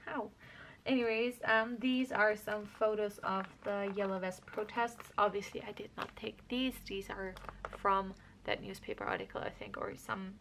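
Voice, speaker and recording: Young female crafter from Austria, chatty and meandering, gravelly fry, faint background noise. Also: medium pitch, steady tone, moderate pace, a bit bright, poor audio. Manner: rambling, self-correcting, chatty. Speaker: craft vlogger. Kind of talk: casual scrapbook walkthrough.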